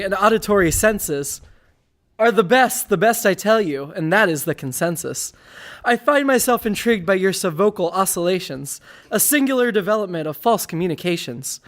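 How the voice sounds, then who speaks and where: A man's voice: sits high, fairly bright tone. Male comedy poet, declamatory and mock-grandiose, performing satirical rhyming verse aloud. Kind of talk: live reading of a satirical rhyming poem.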